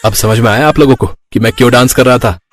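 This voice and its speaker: A man voicing an excitable young husband and new father in a cartoon moral story. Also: medium pitch, fast talker, lightly acted. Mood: triumphant.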